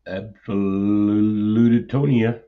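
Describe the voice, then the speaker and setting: A man's voice: fairly low pitch, level tone, slow. A man from Arkansas tossing in short, joking interjections during a casual chat. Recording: slightly dull lo-fi sound.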